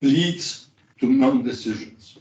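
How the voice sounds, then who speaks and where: A man speaking as a cooperative practitioner on a panel, pausing often with fillers. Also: medium pitch, big pitch swings, a little mellow. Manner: halting, many pauses and fillers. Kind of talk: panel discussion remarks. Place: large conference hall. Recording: echoey hall, distant dull mic.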